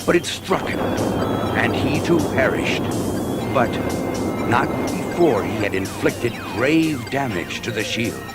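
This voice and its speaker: Loud music plays under a man telling a dramatic space adventure on a 1980s story record. His pitch sweeps widely, and his delivery is theatrical and suspenseful, with deliberate, weighty phrasing. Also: sing-song. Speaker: narrator.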